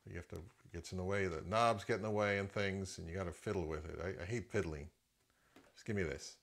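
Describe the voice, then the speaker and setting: A man's voice: low voice, fairly quick, creaky, fairly bright tone. A middle-aged man giving a casual, opinionated product review as an audio gear reviewer, in a conversational way.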